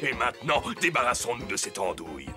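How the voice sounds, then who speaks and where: A man playing a blustery, excitable, scheming king in a children's cartoon, theatrical and full of grumbling exclamations. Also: medium pitch, a little fast, close to an everyday voice. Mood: gleeful, sly. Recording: music behind the voice.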